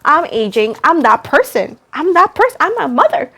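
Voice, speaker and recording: A woman's voice: high voice, big pitch swings, moderate pace, gravelly, a bit bright. A woman giving a casual, chatty tarot reading, rambling in a self-deprecating way and repeating phrases for emphasis. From Puerto Rico. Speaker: tarot reader, host.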